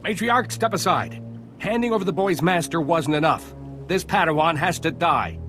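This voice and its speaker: An actor playing a tense, desperate alien villager in a video game, urgent and hard-edged. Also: male voice, fairly high pitch, normal speed, fairly bright tone, faint music behind, lightly acted. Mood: threatening. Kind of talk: video game cutscene acting.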